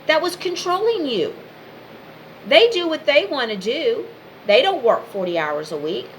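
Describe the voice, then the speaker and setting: A woman's voice: high voice, very animated, a little slow, slight background noise, poor audio. A woman giving an impassioned, informal monologue straight to the listener, speaking in emphatic, repetitive short phrases.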